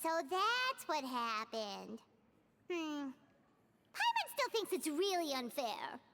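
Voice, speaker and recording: A woman voicing a tiny, childlike, excitable fairy companion with a squeaky voice in a video game. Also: slow, heavy background noise, full character voice. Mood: pensive. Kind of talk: anime-style video game voice acting. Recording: loud music behind the voice.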